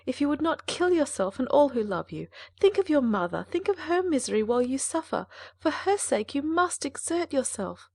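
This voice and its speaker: An actress voicing a composed but anxious elder sister who urges and pleads earnestly in an audiobook drama. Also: female voice, high voice, sing-song, normal speed, few pauses, darkish tone, no echo. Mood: urging.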